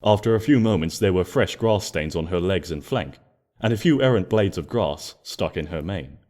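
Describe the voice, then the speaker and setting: A man narrating a scripted story expressively, in a gentle storybook manner. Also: sits low, animated, moderate pace. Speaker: fan fiction narrator.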